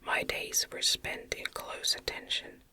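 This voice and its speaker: A woman reading a gothic novel aloud in a gentle, breathy ASMR whisper, with careful phrasing, close to the microphone. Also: a little slow. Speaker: audiobook reader.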